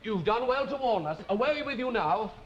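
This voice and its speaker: A man playing a blunt, rude conspirator lord in a period comedy, with a brisk delivery. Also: very high voice, expressive, echoey room. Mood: urgent. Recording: dull recording, loud background noise.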